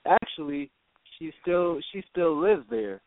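A man from Jamaica, a guest in an interview, talking haltingly with many restarts. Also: a little high, lively intonation, no echo. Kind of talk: casual interview talk. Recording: phone line, dry.

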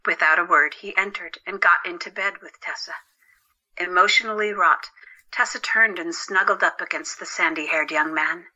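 A woman's voice: fairly low pitch, moderate pace, bright, forceful tone, rough recording. A woman reading scripted story narration for an audio drama.

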